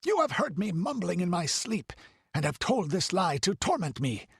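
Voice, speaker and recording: Tyrannical count, audiobook villain, frenzied, snarling, shouted high, very animated. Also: male voice, normal speed, husky, no echo, clean studio sound, exaggerated voice. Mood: enraged, accusing. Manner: snarling, shrieking fury. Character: frenzied tyrannical count, a grown man raging in fear. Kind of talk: audiobook dramatic character voice.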